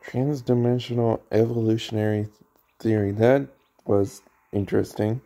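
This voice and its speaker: Creaky-voiced, offhand game streamer. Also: medium pitch, steady tone, normal speed, dark tone. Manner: offhand, muttering to self. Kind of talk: casual gaming commentary. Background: faint game music.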